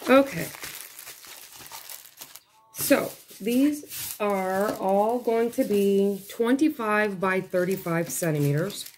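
A woman with a hoarse, failing voice chatting casually at her dining table as she unboxes craft kits, rambling and breaking off mid-thought. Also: medium pitch, slow pace, long pauses, a little mellow, slight background noise. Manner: rambling, chatty, self-interrupting. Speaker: craft vlogger. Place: home dining room, seated at a table.

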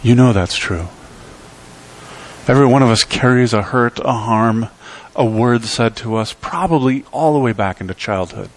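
Middle-aged man preaching with rising emphasis. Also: moderate pace. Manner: emphatic preaching with sweeping pitch and volume swings. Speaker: pastor. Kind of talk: sermon. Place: church sanctuary.

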